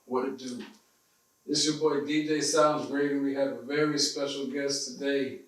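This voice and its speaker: Upbeat host heard from far off, echoing. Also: male voice, mid-range voice, little pitch movement, moderate pace. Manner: upbeat hype greeting, short prompts. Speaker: interview host. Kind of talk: casual interview. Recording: very echoey, from off-mic.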